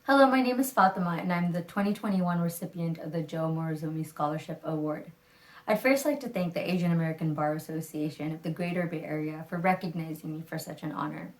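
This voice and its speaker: Young woman from tanzania, lowish voice, polite formal acceptance speech. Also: quick pace, rough recording. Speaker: scholarship recipient, law student.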